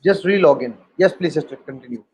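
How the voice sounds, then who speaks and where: A man's voice: fairly high pitch, fast, darkish tone, slightly rough. A man from Pakistan, hosting an online panel and talking hesitantly with many fillers. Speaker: host. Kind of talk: moderating an online panel discussion.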